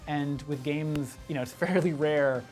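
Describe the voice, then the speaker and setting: A man's voice: on the high side, fairly even intonation, unhurried, a little mellow, faint music behind. A man giving a casual, reflective interview as a game designer, tentatively thinking aloud.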